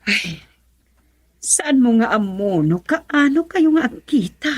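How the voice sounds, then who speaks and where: A woman's voice: a little high, big pitch swings, slow, slightly rough, dry recording, heavily acted. An actress with a Filipino accent playing a concerned middle-aged mother in an Ilocano radio drama. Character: concerned middle-aged mother advising her grown son.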